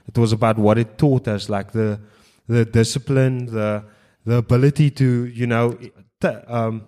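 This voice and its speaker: A man co-hosting a podcast, who keeps pressing with questions in a relaxed, conversational way. His voice sits in the middle range. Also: medium pitch, a little slow. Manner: probing, pushes back with questions. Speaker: podcast co-host. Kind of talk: casual chat.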